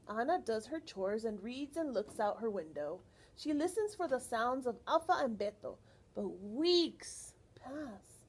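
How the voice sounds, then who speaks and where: High-pitched, very animated woman reading to children. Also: slight background noise. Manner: expressive, addresses children with questions and explanations. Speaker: storyteller reading aloud to children. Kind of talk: animated picture-book read-aloud with teacherly asides.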